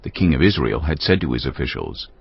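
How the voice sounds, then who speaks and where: Extremely deep, gravelly male narrator. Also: very animated, fast, dry recording, lo-fi sound. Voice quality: gravelly, husky. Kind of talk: dramatic scripted scripture narration.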